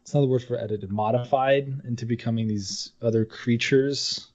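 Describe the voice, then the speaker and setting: A man's voice: mid-range voice, fairly even intonation, moderate pace, a little mellow. A man co-hosting a podcast in a casual chat, talking informally and swearing freely. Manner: casual, profane. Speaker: podcast co-host. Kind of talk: casual chat about games. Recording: dull lo-fi audio.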